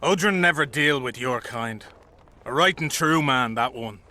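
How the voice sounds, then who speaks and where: An actor playing a blunt, boozy common soldier in a fantasy game, coarse and combative. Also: male voice, mid-range voice, lively intonation, measured pace, sharp tone. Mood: scornful. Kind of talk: video game dialogue acting.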